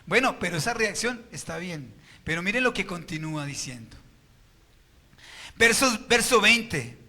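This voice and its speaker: High bright-voiced Colombian pastor preaching. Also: male voice, animated, measured pace. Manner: preachy, rhetorical questions to the congregation. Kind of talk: sermon. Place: church service.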